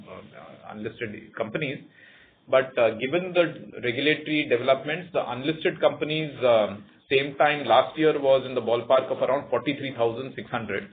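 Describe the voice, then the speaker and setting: Male executive, Indian accent, mid-pitched, careful and halting formal answers over narrow phone audio with faint noise. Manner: hesitant, measured, formal. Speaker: company executive. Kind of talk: answering analyst questions on an earnings call. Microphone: conference call line.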